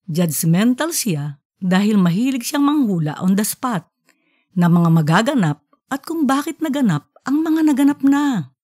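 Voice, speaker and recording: A woman's voice: mid-range voice, a little mellow. A woman with a Filipino accent hosting a podcast and narrating an audiobook story.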